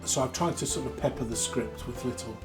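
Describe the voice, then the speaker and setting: Middle-aged British man, mid-pitched, lively interviewee explaining his craft, light music underneath. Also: fairly quick. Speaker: pantomime writer and actor. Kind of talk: interview talk about his work.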